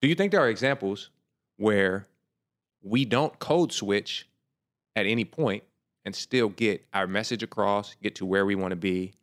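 A man in a casual discussion, thinking aloud in a reflective, measured way as he makes his points. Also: on the low side, a bit bright.

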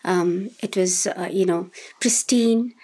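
Woman, sixties, from India, interview guest, breathy voice, hesitant and reflective. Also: on the low side, takes it slowly, mellow. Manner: thoughtful, hesitant with many fillers and restarts. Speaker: guest, domestic violence shelter worker. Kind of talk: casual interview answers.

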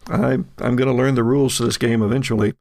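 A man runs a horror tabletop game as its game master, painting scenes as he makes them up. His voice has a gravelly creak and an average pitch. The sound is dry, with no room around it. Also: flat delivery, quick pace, a little mellow, no room sound. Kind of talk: narrating a horror tabletop game, semi-improvised.

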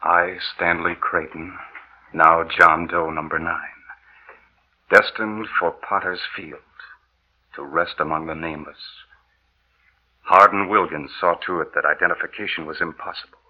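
A man's voice: low voice, fairly even intonation, a little slow, long pauses, highs cut off. An actor playing a trusting, earnest inventor betrayed by his partner, delivered with emphatic old radio horror drama acting. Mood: bitter, haunted. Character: trusting, eager male inventor, now a bitter dead narrator. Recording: old 1930s-40s radio broadcast recording.

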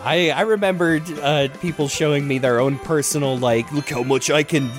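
A man's voice: a little high, normal speed. Man hosting a casual podcast over music. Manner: informal, jokey, rambling. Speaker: podcast host. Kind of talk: casual chat.

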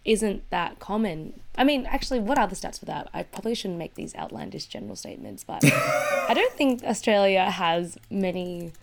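A woman in casual conversation as a podcast co-host, animated and chatty, often saying "like". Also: medium pitch, moderate pace, very noisy.

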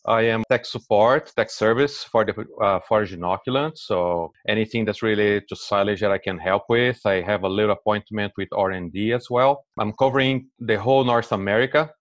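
A man's voice: on the low side, a little fast, hardly any pauses, no room sound. A man in his forties from Brazil, speaking with a Brazilian Portuguese accent as a guest expert in a casual interview, explaining things with many fillers and restarts.

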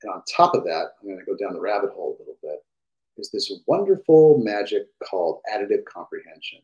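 Middle-aged man, deep low voice, eager and rambling, gaming headset mic. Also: animated, quick pace, mellow, muffled recording. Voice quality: fairly deep voice. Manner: enthusiastic, rambling with fillers and restarts. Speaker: guest, game industry executive. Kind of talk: casual podcast chat.

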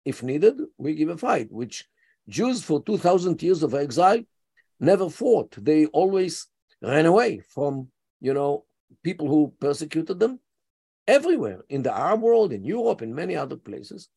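A man's voice: on the high side, sing-song, normal speed, vocal fry, warm tone, slightly rough. A man in his seventies with an Israeli accent, speaking as a guest scholar in an interview, hesitant with many fillers but emphatic. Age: 70s. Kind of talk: interview conversation.

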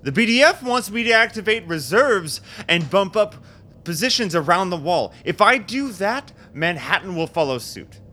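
A man's voice: high-pitched, very animated, normal speed, pushed and bright, heavily acted. An actor in a radio drama playing a self-doubting political leader, a man who sounds hesitant and agitated. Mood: worried, agitated. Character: anxious, self-doubting new political chairman, a war hero. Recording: noticeable background noise.

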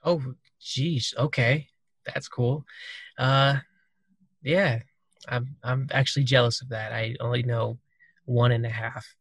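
A man's voice: medium pitch, steady tone, slow pace, pauses often, fairly bright tone, breathy edge, dry recording. Dry, close-miked man hosting casually. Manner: loose, rambling, many fillers. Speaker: podcast host. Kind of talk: casual conversational podcast.

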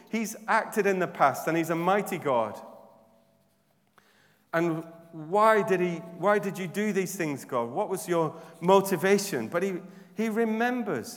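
Animated preacher in a reverberant hall. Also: male voice, high voice. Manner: animated, humorous, shifts from quiet reflection to loud exhortation. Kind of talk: sermon.

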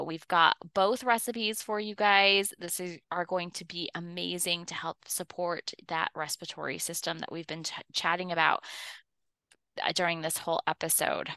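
A woman's voice: mid-range voice, pushed and bright, no echo. A woman co-hosting a podcast, chatting in a bubbly, giggly way and closing the show. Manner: giggly, bubbly, lots of um fillers. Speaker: podcast co-host. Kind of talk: casual podcast chat.

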